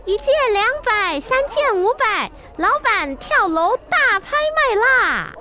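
Shrill, bright market hawker. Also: female voice, very animated, takes it slowly, a little hiss or hum, exaggerated voice. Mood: excited hawking. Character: loud, excitable night market bargain hawker. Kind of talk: children's radio drama acting.

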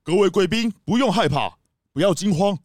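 A man's voice: high-pitched, lively intonation, normal speed, darkish tone, no room sound, studio quality. An actor playing a jovial, reassuring city mayor who addresses his guests like a grand host in a children's mystery audio drama. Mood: firm, reassuring.

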